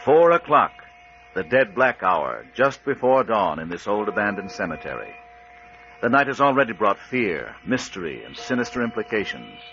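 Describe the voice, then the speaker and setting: A man's voice: lowish voice, sing-song, moderate pace, gravelly, fairly bright tone, faint music behind. A man narrating dramatically and portentously as an old-time radio announcer. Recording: muffled vintage radio recording.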